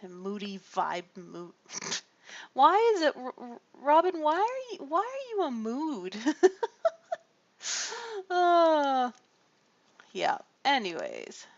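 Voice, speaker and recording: A woman chatting casually to her livestream viewers while she draws colouring pages. She rambles along with a gravelly voice, lots of fillers and little laughs. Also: sits high, huge pitch swings, slow pace, long pauses, lo-fi sound. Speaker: streamer drawing colouring pages.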